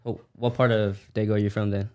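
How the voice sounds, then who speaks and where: A man hosting a casual interview, asking questions and giving shout-outs in laid-back slang. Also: fairly low pitch, little pitch movement, quick pace. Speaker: podcast host.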